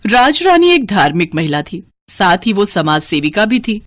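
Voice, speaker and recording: A woman's voice: mid-range voice, big pitch swings, moderate pace, a bit bright, dry recording. A woman narrating a scripted story in a dramatic storytelling style.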